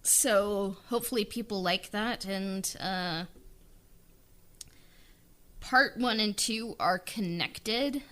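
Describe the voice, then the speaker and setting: Slow-talking woman, casual creator chat. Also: mid-range voice, fairly bright tone. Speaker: writer and director of the audio drama. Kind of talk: casual behind-the-scenes chat.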